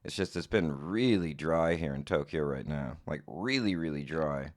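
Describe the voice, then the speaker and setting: A man with a very low voice, a little hoarse, chatting loosely as a podcast co-host. He talks in an easy, offhand manner. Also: expressive, moderate pace. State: slightly hoarse. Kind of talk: casual podcast chat.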